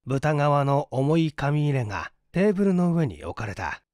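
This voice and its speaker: A man's voice: fairly high pitch, very animated, normal speed, a little mellow, no room sound, clean studio sound. A man narrating a story as a dramatic, scripted audiobook reading. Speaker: audiobook narrator, stage actor.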